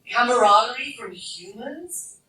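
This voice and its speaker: A woman's voice: fairly high pitch, animated, unhurried, bright, forceful tone, faint music behind. A woman playing an imperious, cruel gargoyle villain who despises humans, sardonic and commanding, in a live radio play. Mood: mocking menace. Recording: roomy, distant, noisy live fan recording.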